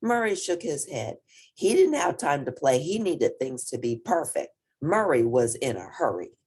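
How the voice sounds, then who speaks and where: A woman reading a children's story aloud in an expressive narrating style. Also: sits low, moderate pace, breathy edge. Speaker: storybook reader.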